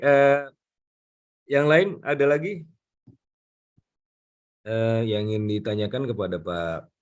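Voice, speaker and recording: A man moderates a guest lecture. He speaks casually, like a lecturer handling questions from a class. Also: lowish voice.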